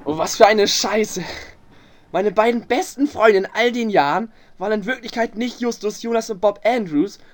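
A man's voice: high-pitched, normal speed, lightly acted. A young man playing an incredulous, melodramatic boy detective in a tongue-in-cheek amateur radio play. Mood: dismayed.

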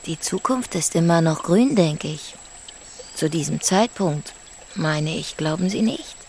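A woman's voice: low voice, unhurried, darkish tone, a little hiss or hum. An actress playing a worldly, weary mother in a German radio play, wry and musing. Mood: dreamy. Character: worldly, weary, dreamy young mother of a teenage boy. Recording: music clearly playing behind.

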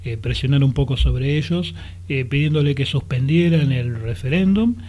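A man with a porteño accent from Buenos Aires, a lawyer who is a guest on a radio interview, explains things at a relaxed pace with many fillers and restarts. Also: medium pitch, moderate pace. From Argentina. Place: radio studio.

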